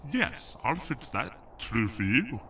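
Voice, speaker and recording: A man's voice: mid-range voice, very animated, takes it slowly, bright, forceful tone, distant mic, slight background noise, exaggerated voice. A man playing a stern, grand archangel passing judgment with authority in an audio drama. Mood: grudgingly generous. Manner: pronouncing, authoritative. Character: stern male archangel, grand, judging, grudgingly fair.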